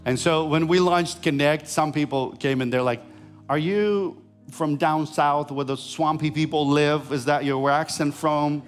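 A middle-aged man from Ukraine with a Ukrainian accent, preaching a sermon on a large church stage, swinging between rising emphatic lines and quiet lowered ones. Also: a little slow, faint music behind. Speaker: pastor.

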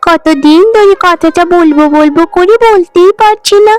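A woman's voice: very high voice, moderate pace, faint music behind. A woman playing a poor maid's young daughter in a cartoon, girlish and earnest in manner. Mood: pleading. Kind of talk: animated Bengali folk-tale cartoon acting.

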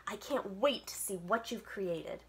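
A woman voicing a cheerful art teacher in a children's storybook, speaking brightly and encouragingly. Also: medium pitch, unhurried, close to an everyday voice.

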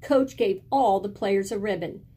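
A middle-aged woman reading a picture book aloud in an expressive, deliberate storytelling style, indoors at home. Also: medium pitch, slightly rough. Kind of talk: expressive children's read-aloud. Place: small indoor room at home with bookshelves.

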